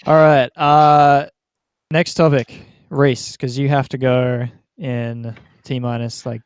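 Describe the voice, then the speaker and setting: A man's voice: medium pitch, little pitch movement, takes it slowly, smooth, no room sound. A young man hosting a casual chat with bantering ease. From Australia. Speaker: podcast host.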